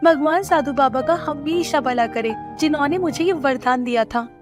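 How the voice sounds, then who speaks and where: A woman voicing a kind, earnest young village woman in an animated moral story. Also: high-pitched, normal speed, over music, voice pushed up, lightly acted. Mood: content.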